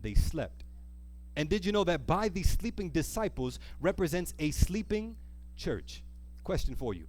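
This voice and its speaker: A man preaching a sermon in an emphatic, exhorting manner, reading scripture and pausing to put questions to his listeners. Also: a little high, big pitch swings, a little mellow. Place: church service, live.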